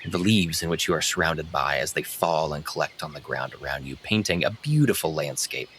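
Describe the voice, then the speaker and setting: Low-voiced man narrating a fantasy game. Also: animated, fairly quick, a bit bright, slight background noise. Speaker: game master. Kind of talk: descriptive fantasy tabletop narration.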